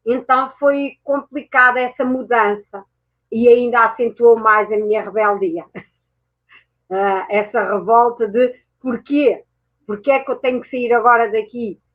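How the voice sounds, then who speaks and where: High-voiced woman on tinny audio. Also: fairly even intonation, a little slow. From France. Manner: reflective, speaks with many self-corrections. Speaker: guest, mindset mentor. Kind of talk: personal storytelling in a chat.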